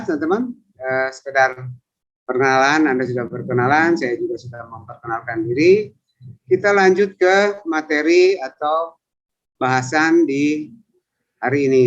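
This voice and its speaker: A man in his fifties, a civil service trainer, chatting and rambling through his self-introduction in an online coaching session. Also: medium pitch. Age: 50s. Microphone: video call. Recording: lo-fi video call audio.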